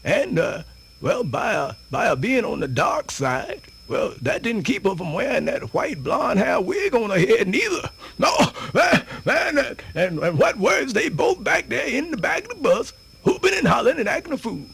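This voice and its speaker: Husky, high-voiced Southern old storyteller. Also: male voice, sing-song, moderate pace, a bit bright, exaggerated voice. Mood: bemused, gossipy. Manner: rambling, folksy, theatrical hesitations and repetitions. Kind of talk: radio theatre folktale monologue.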